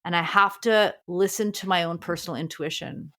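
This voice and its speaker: A woman teacher co-hosting a podcast speaks in a low, strong voice. She thinks aloud in an earnest way and often restarts her phrases as she reflects. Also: fairly even intonation, moderate pace, fairly bright tone. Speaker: podcast co-host. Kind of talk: reflective casual conversation.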